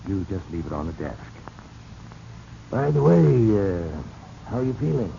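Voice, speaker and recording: Blustery older boss in old radio drama, gravelly, pitch jumping around, noisy old recording. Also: male voice, big pitch swings, measured pace, dark tone, highs cut off, exaggerated voice. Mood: hearty, jovial. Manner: jovial, avuncular, blustering. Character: hearty, boastful older businessman, patronizing and trusting. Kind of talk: 1940s radio drama acting.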